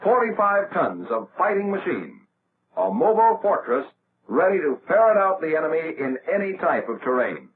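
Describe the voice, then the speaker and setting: High-pitched American man, tinny 1940s film narration. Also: very animated, normal speed. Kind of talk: scripted narration of a 1940s military instructional film. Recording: tinny, band-limited, noisy old film soundtrack.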